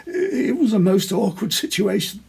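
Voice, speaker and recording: A man voicing a timid, embarrassed gentleman in a storybook reading, stammering desperately and earnestly. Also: high-pitched, sing-song, moderate pace, gravelly, breathy edge, voice pushed up, exaggerated voice. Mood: nervous, awkward.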